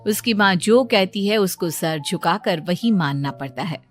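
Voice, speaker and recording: A woman's voice: lowish voice, expressive, unhurried, faint music behind. A woman reading a scripted moral story as its narrator.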